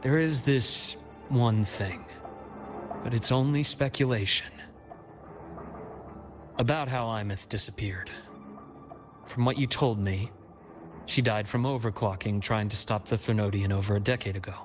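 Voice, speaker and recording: A man's voice: on the low side, music in the background, natural voice. Composed, shrewd male investigator played by an actor. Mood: measured, cautious. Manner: measured, deliberate, analytical. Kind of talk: scripted video game dialogue.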